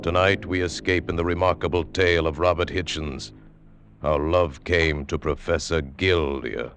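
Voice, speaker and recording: A man with an extremely deep voice introduces the old radio play. He speaks in an ominous, deliberate manner. Music plays clearly behind him. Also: steady tone. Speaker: announcer. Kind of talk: dramatic radio narration.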